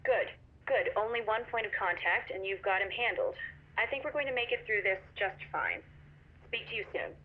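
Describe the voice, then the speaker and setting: An actress playing a cold, controlling corporate boss in a video game, condescending and deliberate, heard over a phone line. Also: female voice, mid-range voice, lively intonation. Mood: coolly controlling. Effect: phone call filter. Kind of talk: naturalistic video game acting. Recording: tinny, narrow phone line.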